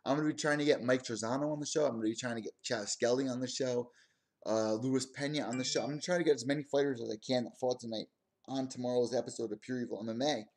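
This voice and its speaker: A man in his twenties hosting his own combat sports podcast, talking in a rambling, excitable way with lots of asides. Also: medium pitch, fast talker. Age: 20s. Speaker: podcast host. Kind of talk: casual solo stream commentary.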